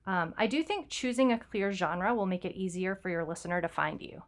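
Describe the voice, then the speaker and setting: Smooth, lowish-voiced woman podcaster. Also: fairly quick. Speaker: podcast co-host and fiction writer. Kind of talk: casual podcast discussion.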